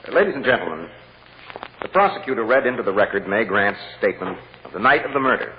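An actor playing a shrewd, calm defense lawyer with a measured, persuasive delivery in 1940s radio drama style. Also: male voice, on the low side. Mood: pointed, assured. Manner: measured and deliberate, gently coaxing or drily firm. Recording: muffled, hissy old radio recording with hall echo.

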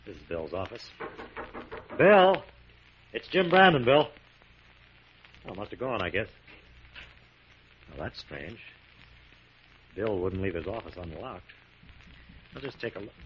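Alert detective hero, low voice, theatrical asides, lively pitch, 1940s radio drama. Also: male voice, a little slow, long pauses. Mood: curious, alert. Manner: talks thoughts aloud theatrically. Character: resolute male crime-fighting hero, alert investigator. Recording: dull, hissy 1940s radio recording.

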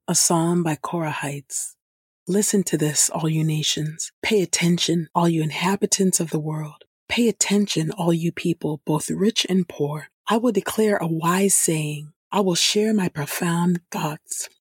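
A woman host reading Scripture aloud in a scripted devotional style, with measured, clearly phrased delivery. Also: sits low, steady tone, darkish tone, no room sound, studio quality. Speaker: podcast host and Scripture reader.

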